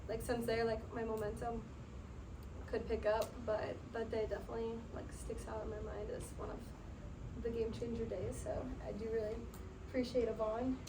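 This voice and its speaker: A woman's voice: on the high side, level tone, normal speed. A young woman telling a casual story to a group, chatty and full of "like", laughing often. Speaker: sales team member sharing her story. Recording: distant mic, noisy room.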